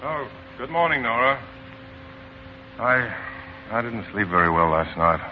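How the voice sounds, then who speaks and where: A man's voice: medium pitch, big pitch swings, a little slow, a little hiss or hum. An actor playing an earnest, insistent, plain-spoken man in a 1940s radio play, with an emphatic theatrical delivery. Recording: dull lo-fi 1940s radio, faint music.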